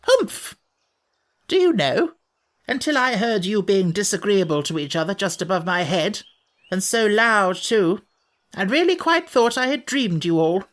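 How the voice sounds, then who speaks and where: A woman's voice: mid-range voice, very animated, unhurried, voice pushed up. A woman voicing a peevish, ancient little furry sand fairy with a haughty, grumbling manner. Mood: huffy.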